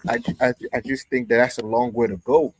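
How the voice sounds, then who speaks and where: A man's voice: medium pitch, steady tone, brisk, rough recording. A man from New York in a casual group discussion, rambling, correcting himself and arguing his point. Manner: rambling, self-correcting, argumentative.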